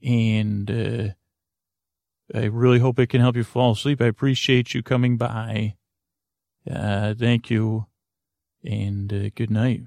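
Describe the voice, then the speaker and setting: A man hosting a sleep podcast in a creaky voice, rambling through a soothing bedtime monologue in a meandering, halting, stammering way. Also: fairly low pitch, level tone, a little mellow, no echo. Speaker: podcast host.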